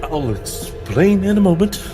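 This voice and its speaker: A man voicing a frightened necromancer losing control, speaking in halting, formal, pleading phrases in a tabletop game. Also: high-pitched, very animated, music in the background, pitched above the performer's own voice. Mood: anxious, pleading.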